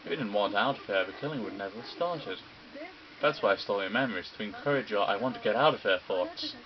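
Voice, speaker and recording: A man voicing a gleeful, sinister male robot bear headmaster who lectures in a mocking, theatrical, drawn-out way. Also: lowish voice, animated, dull audio, crowd noise, lightly acted. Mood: sinister lecturing. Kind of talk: video game dub reading.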